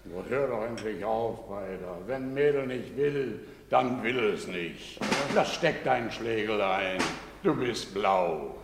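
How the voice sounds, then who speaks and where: An actor playing a gruff, money-minded village innkeeper in a theatrical stage style. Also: male voice, fairly low pitch, slow pace, soft background music. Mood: exasperated, scolding. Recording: noisy, echoey theatre hall.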